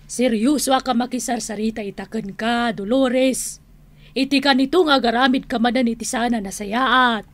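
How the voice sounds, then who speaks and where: A woman's voice: on the high side, fairly even intonation, normal speed, natural voice. A woman playing a tough, stern, scolding auntie in a radio drama, with an Ilocano accent. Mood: stern, threatening. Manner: stern, threatening. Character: tough, scolding elder woman who threatens with shotgun.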